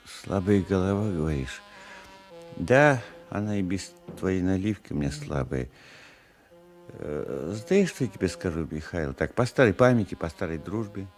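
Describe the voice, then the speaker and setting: An actor in a 1980s radio play as an anxious, simple, tipsy old peasant husband, hesitant and plaintive. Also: male voice, low voice, expressive, normal speed, darkish tone, faint music behind. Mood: tipsy, wary. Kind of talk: Soviet-era 1980s radio play acting.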